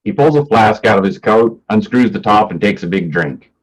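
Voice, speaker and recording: A man's voice: on the low side, expressive, moderate pace, breathy edge, rough recording. A man narrating stage directions for a play, reading from a script in the present tense.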